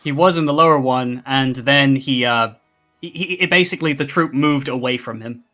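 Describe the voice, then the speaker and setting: A man's voice: medium pitch, moderate pace, sharp tone. A man narrating combat as game master of a tabletop roleplaying game, speaking casually. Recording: background music under the voice. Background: music.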